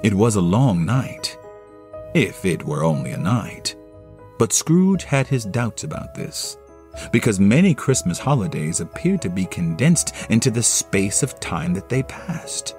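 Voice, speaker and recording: A man's voice: on the low side, very animated, a little slow, a little mellow, soft background music. A man narrating a classic novel as dramatic, scripted storytelling, with a theatrical and solemn delivery. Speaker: narrator, solo performer.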